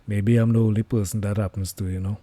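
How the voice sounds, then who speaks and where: A man with a hoarse voice giving casual live commentary on his currency trades, thinking aloud with many fillers. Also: on the low side, flat delivery, quick pace, mellow, dry recording. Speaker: trading livestreamer.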